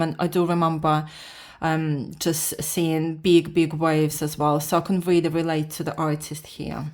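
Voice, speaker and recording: A woman from Latvia whose first language is Russian, speaking with a Russian accent and just woken up. She talks her way through a drawing lesson in a halting manner, restarting often and reading the instructions aloud. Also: deep voice, a little mellow. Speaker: beginner art vlogger. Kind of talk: casual drawing tutorial.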